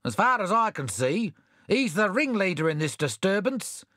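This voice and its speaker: A man's voice: high-pitched, big pitch swings, moderate pace, a bit bright, no room sound, studio quality, pitched above the performer's own voice. A man doing a whiny, timid constable. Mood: peevish. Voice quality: whiny, nervous. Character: timid peevish male constable.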